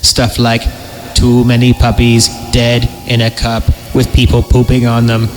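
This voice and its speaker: A man's voice: mid-range voice, monotone, a little slow. A man reading a horror story theatrically as a podcast host, breaking in with crude, joking asides. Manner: theatrical narration broken by crude, panicky comic asides. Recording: clearly noisy amateur recording.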